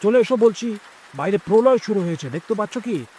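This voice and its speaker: A man playing an animated, fatherly gentleman. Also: high voice, brisk, slight background noise. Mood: alarmed, scolding. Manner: expressive, exclaiming, familiar. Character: chatty, concerned older gentleman, fatherly and insistent. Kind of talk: audio drama acting.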